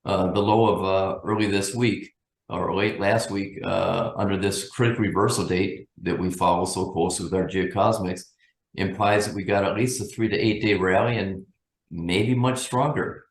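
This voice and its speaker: A man giving unscripted market commentary as a guest analyst, thinking aloud in a measured, cautious way. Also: low-pitched, fairly even intonation, reverberant, low-quality recording.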